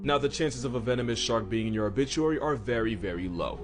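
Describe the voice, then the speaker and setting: A man's voice: medium pitch, fast. A man delivering comedic scripted narration about animals, with a wry, slangy, sarcastic manner. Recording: music behind the voice. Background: background music.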